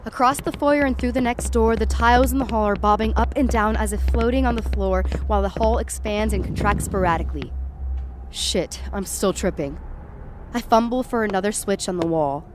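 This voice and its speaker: A woman's voice: medium pitch, moderate pace, lightly acted. Actress as scared young woman tripping out, telling a horror story as it happens, tense, noisy background behind her. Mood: tense, disgusted. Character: frightened, tripping young woman narrating a supernatural night. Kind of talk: first-person present-tense dramatic horror narration.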